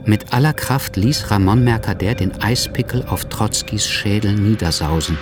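An actor playing a writer who narrates a literary radio play in a grave, measured, suspenseful manner. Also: male voice, fairly low pitch, noisy background, natural voice. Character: grave male writer narrating his story. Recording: clear music behind.

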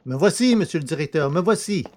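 A man acts a good-natured poacher in a radio comedy. His voice sits a little high. He sounds artless and deferential, with a sly, cheeky streak. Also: animated, fast talker, darkish tone, natural voice. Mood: artless, amused. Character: cheeky, good-natured male country poacher, freshly freed prisoner. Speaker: actor in an audio drama. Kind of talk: French radio comedy acting.

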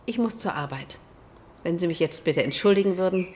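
A woman playing a bitter, evasive middle-aged doctor in a radio drama, with a cool, measured and complaining manner. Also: lowish voice, lively intonation, darkish tone, close to an everyday voice. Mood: cool, indignant. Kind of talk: naturalistic radio drama acting.

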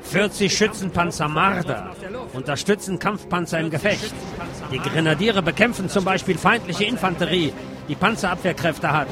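Higher-voiced male narrator over loud music. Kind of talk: scripted documentary-style narration. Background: loud music bed.

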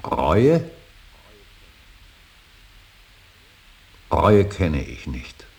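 An extremely deep-voiced older father broods over his grief in a solemn radio drama. He declaims very slowly and haltingly, weighing each phrase and often sinking into a breathy whisper. There is a slight hiss on the recording. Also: darkish tone, full character voice. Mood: bitter, anguished. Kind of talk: German radio drama acting, solemn monologue. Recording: hissy, faintly noisy radio recording.

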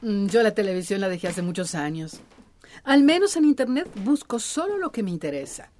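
A woman's voice: mid-range voice, sing-song, brisk, darkish tone, natural voice. An actress playing a friendly, understanding, easily flustered woman in a radio soap. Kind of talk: radio soap opera acting.

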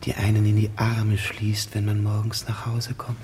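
An actor playing a wistful, self-pitying gangster with an earnest, plaintive manner in an old radio play. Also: male voice, lowish voice, completely flat delivery, moderate pace, close to an everyday voice. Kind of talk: 1950s radio comedy-drama acting. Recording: old radio recording with noise.

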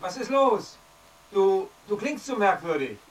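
An actor playing a loyal, concerned husband and best friend in a radio drama, speaking in a calming way. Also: male voice, high-pitched, measured pace, recorded from a distance, low-quality recording. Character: loyal, reassuring married man, best friend of a troubled caller. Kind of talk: radio play acting.

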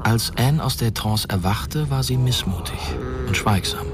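An actor narrating a bleak German science fiction radio drama as a sober, measured storyteller. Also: male voice, mid-range voice, expressive, noisy background, natural voice. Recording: loud music under the voice.

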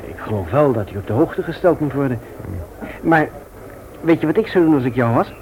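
An actor playing a mild, evasive man in a Dutch radio play, hesitant and measured in his speech. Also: medium pitch, lively intonation, highs cut off, natural voice. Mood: concerned. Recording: noisy old radio play recording.

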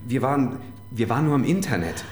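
A man's voice: medium pitch, very animated, fast talker, a little mellow, echoey room, natural voice. An actor playing a nervous, evasive married man in a radio crime drama, stammering under questioning.

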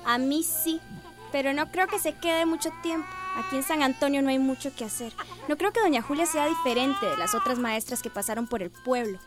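A woman's voice: high-pitched, a little fast, loud music behind the voice, lightly acted. A woman playing a sulky Costa Rican teen girl. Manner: resentful, complaining. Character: sulky teenage daughter.

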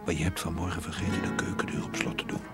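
A man's voice: very deep voice, level tone, fast talker, over loud music. A man playing a blunt, reproving figure in a television drama, speaking tersely. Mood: stern. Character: blunt, reproving man prodding a frightened chaplain.

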